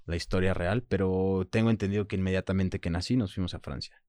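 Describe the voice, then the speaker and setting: Very low-voiced Mexican man, reflective. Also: steady tone, darkish tone, dry recording, clean studio sound. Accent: Mexican Spanish. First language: French. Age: 30s. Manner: reflective, halting, frequent "pues" and "¿sabes?". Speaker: guest. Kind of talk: personal interview.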